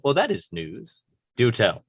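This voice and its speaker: A man playing a laid-back, patient co-op baker with a dry, deadpan manner in a comedy table read. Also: medium pitch, unhurried, breathy edge, dry recording, heavily acted. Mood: deadpan curiosity.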